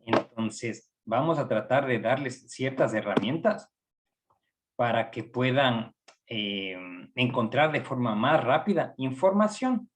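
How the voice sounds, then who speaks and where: A man's voice: mid-range voice, lively intonation, a little hiss or hum. Ecuadorian man lecturing with hesitant fillers. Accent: Ecuadorian Spanish. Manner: measured, with frequent hesitations and fillers. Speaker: psychology professor giving a training session. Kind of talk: recorded online lecture.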